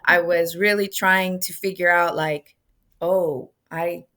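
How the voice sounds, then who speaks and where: A middle-aged woman answers interview questions in a low, bright voice. She talks in a lively, chatty way and drops Spanish phrases into her English sentences as she goes. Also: slow. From United States, of Mexican parents. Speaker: podcast guest, music manager.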